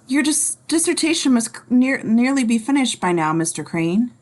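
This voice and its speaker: A woman's voice: fairly high pitch, very animated, normal speed, a little mellow. A woman playing a sensible, polite female museum docent in a table read. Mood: politely curious.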